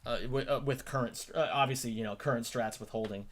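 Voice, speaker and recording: A man's voice: medium pitch, steady tone, quick pace. A man hosting a casual chat about a gaming video, talking with many ums and restarts and plainly admiring his guest's work. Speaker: host.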